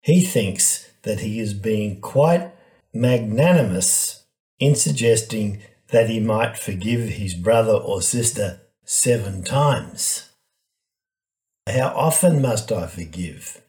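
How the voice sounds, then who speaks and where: A man gives a priest's reflective homily. He speaks very slowly in a lowish, mellow voice, setting out his points phrase by phrase. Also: lively intonation, poor audio.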